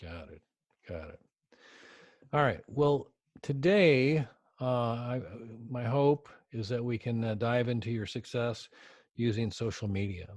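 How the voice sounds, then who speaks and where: A man's voice: mid-range voice, measured pace, a little mellow, no echo. A man hosting a podcast, introducing his guest and asking questions in a friendly, chatty way with many hesitant fillers. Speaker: podcast host. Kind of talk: podcast interview.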